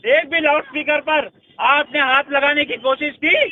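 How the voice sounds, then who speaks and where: A man with an Indian accent delivers a fiery speech in a very high, strained-up pitch. The recording is muffled and lo-fi. He hammers his phrases defiantly, repeating them for emphasis. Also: fairly even intonation, moderate pace, dry recording. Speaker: organisation leader addressing supporters.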